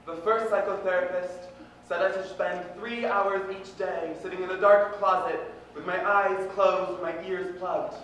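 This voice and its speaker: A man performing spoken-word poetry with impassioned, deliberate, earnest phrasing. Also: sits high, steady tone, fairly bright tone. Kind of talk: slam poetry recitation, dramatic and earnest. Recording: very echoey room, distant mic, faint noise.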